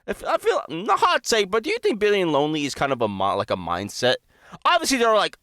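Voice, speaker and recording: A man's voice: mid-range voice, pushed and bright, dry recording, clean studio sound. A young American man giving casual, slangy, profane reaction commentary, swinging from mutters to exclamations. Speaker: reaction video host.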